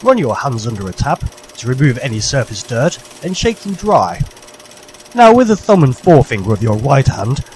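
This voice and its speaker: A man's voice: mid-range voice, a little mellow, a little hiss or hum. A man narrating a parody vintage public information film in a formal, jolly, old-fashioned instructor's manner.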